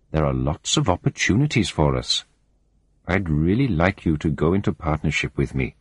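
An eager male business friend urges a partner on in an earnest, persuasive manner, as a man reads him aloud. The voice is low and slightly husky, recorded close and dry. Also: no room sound, heavily acted.

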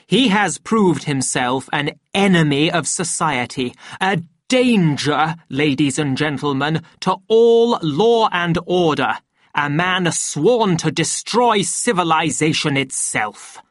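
Woman as pompous male director, audiobook character, stern, oratorical, slow delivery, emphatic, dry close sound. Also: low voice, animated, no echo, voice pushed up, heavily acted. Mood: solemn, accusing.

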